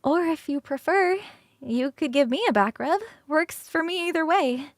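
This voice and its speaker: Woman doing a bubbly succubus roommate for asmr roleplay, high girly voice, really dry close sound, rambly and teasing. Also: animated, moderate pace, no echo. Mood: exasperated, playful.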